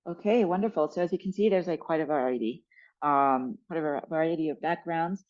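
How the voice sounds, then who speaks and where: A woman's voice: low-pitched, fairly quick, a little mellow, no room sound, muffled recording. A woman moderating a panel webinar, asking questions with frequent hesitations. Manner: hesitant, many fillers. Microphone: video call.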